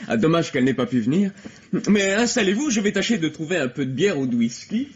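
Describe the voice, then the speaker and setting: A struggling playwright in an old radio mystery, effusive and self-absorbed. His voice is slightly husky, and he stammers and hesitates as he talks. The recording is dull and lo-fi. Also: fairly high pitch, sing-song, brisk. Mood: effusive welcome. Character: nervous, eager, self-absorbed struggling young male playwright. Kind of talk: vintage French radio mystery acting.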